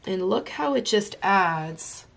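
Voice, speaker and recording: A woman's voice: mid-range voice, lively intonation, takes it slowly, vocal fry, background noise. A woman, slightly congested from illness, enthusiastically talking through a coloring tutorial as she works. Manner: enthusiastic, chatty, talks while drawing. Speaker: art tutorial host. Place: home craft desk.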